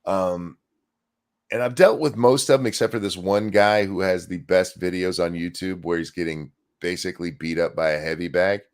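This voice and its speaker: A man's voice: deep voice, fairly even intonation, moderate pace. A man hosting a casual livestream chat, talking in a loose, rambling way with restarts. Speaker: host.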